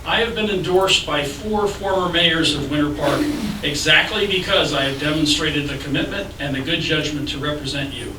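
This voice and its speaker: A man giving a measured, businesslike opening statement as a candidate at a public debate, with frequent filler sounds. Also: a little high. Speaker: city commission candidate. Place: public library meeting room. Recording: echoey room, distant mic, noisy.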